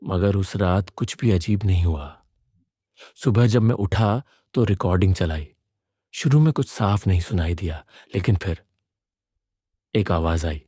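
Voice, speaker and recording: Male narrator for horror fiction: measured first-person suspense, low register, dark tone. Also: dry recording, studio quality.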